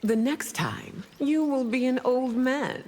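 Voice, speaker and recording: A woman's voice: high-pitched, slow, a little mellow, big-room sound. A woman playing a proud, regal, long-lived empress in a video game, composed and haughty. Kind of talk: video game character acting.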